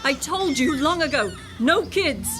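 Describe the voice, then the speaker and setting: An actress in a radio drama playing an impatient, domineering wife, sharp-tongued, sarcastic and dismissive. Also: female voice, sits high, lively intonation, a little slow, noisy background. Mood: dismayed, sour. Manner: sarcastic, bossy.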